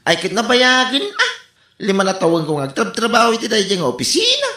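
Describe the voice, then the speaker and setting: Animated, exasperated Ilocano office worker. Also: male voice, high-pitched, a little fast, roomy sound, full character voice. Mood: puzzled. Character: harried, exasperated office worker pestered by nosy neighbours. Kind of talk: comedy radio drama acting.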